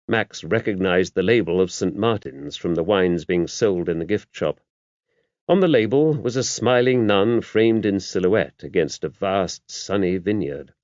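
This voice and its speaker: Expressive male audiobook narrator. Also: low voice, no echo. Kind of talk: scripted narration of a mystery novel. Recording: dull, muffled lo-fi audio.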